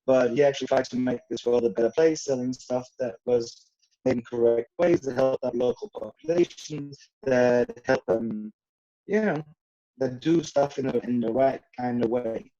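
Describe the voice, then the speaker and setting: Mellow-voiced Danish-born man on a video call. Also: mid-range voice, animated, moderate pace. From Denmark. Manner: chatty, rambling, frequent fillers and restarts. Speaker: guest, rum brand owner. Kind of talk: casual video call conversation. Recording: dull lo-fi video call audio.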